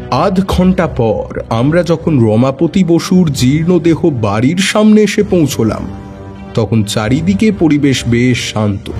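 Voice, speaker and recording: A man narrating a detective story with expressive, suspenseful delivery. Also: on the high side.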